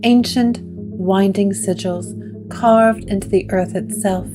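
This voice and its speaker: Slow, hushed woman narrator. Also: medium pitch, fairly even intonation, over music. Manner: slow, hushed, dramatic storytelling. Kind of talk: scripted gothic drama narration.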